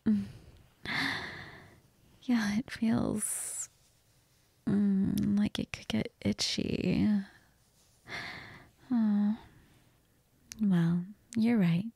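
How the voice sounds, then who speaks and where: Woman playing a breathy, flirtatious vampire. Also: medium pitch, steady tone, no room sound, exaggerated voice. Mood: flirty. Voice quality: breathy, half-whispered.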